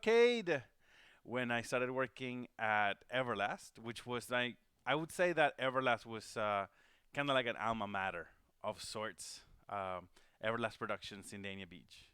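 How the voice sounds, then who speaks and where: A man's voice: medium pitch, sharp tone, clean tone, no room sound, studio quality. A man from Venezuela hosting a livestream, talking casually and rambling with many fillers and restarts. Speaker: host. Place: home studio.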